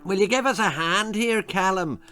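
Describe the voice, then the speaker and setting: Bloke doing a blunt messy little girl, childish n indignant, voice pitched up, music behind, kids storybook. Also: moderate pace, sharp tone. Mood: pleading. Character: untidy, stubborn, indignant young girl.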